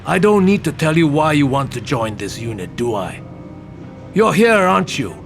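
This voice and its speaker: A man playing a gruff, blunt, foul-mouthed senior police detective with a gravelly voice in an audio drama. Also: a little high, big pitch swings, moderate pace, faint music behind. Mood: intense, persuading. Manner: blunt, persuasive, intense.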